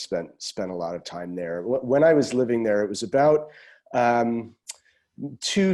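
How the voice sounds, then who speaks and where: A middle-aged American man giving thoughtful interview answers as a guest novelist, reflective and halting, often restarting his words. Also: fairly low pitch, a little mellow.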